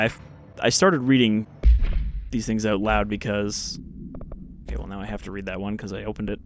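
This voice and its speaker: A young man commentating on a game as a let's play host, rambling casually and reading in-game documents out loud. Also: lowish voice, slight background noise. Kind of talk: gameplay commentary, reading in-game files aloud.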